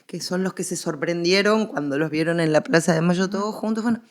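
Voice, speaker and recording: A woman's voice: fairly low pitch, brisk. An Argentine woman speaking as a guest expert in a conversational interview, explaining history with emphatic, repeated phrasing.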